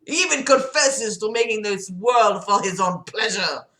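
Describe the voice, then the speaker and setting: Male asylum patient played by a woman, smug, bitter zealot, storybook read, emphatic and unhurried, roomy echo. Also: low voice. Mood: bitter preaching. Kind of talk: improvised voice in a story reading. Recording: roomy, echoing sound.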